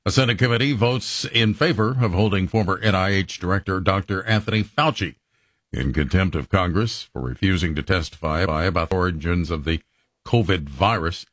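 A man reading the radio news in a crisp, formal manner. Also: low-pitched, moderate pace, a bit bright, no room sound, clean studio sound. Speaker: newscaster.

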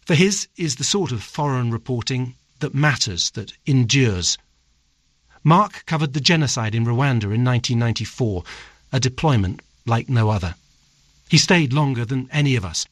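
A man reading a scripted radio essay as a foreign correspondent, in a measured storytelling manner. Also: mid-range voice, lively intonation, no echo.

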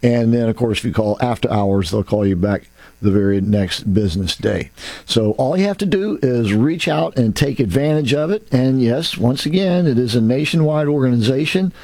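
A man's voice: medium pitch, expressive, moderate pace, a little mellow, dry recording. A man hosting a talk show, rambling and folksy, with many restarts and asides. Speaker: radio show host. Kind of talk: casual radio talk show.

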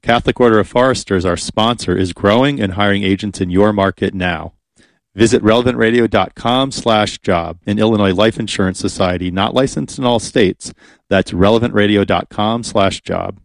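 Ad read by a guy with a lowish voice, dry close mic. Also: normal speed, gravelly, no room sound. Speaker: ad announcer. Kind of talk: scripted radio ad read.

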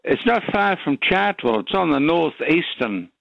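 A man's voice: a little high, big pitch swings, a little slow, gravelly, no room sound. A man chatting casually as a caller to a radio show, over a dull phone line. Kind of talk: casual chat. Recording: dull band-limited phone call.

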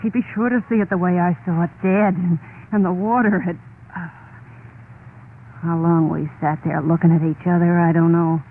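An actress playing a haunted, unhinged wife confessing to murder in an old radio horror play, intense and halting, insistently repeating herself. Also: female voice, on the low side, fairly even intonation, close to an everyday voice. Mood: dread. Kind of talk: old-time radio horror monologue, dramatic first-person narration. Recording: tinny, band-limited old radio recording, faint noise.

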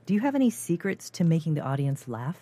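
A woman hosting an opera radio broadcast, interviewing and presenting in a poised, measured way. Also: low-pitched, sing-song, warm tone. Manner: poised, measured announcer delivery.

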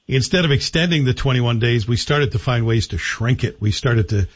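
A man's voice: mid-range voice, moderate pace, slightly rough, dull audio. A man hosting a radio talk show, interviewing a guest in a conversational way.

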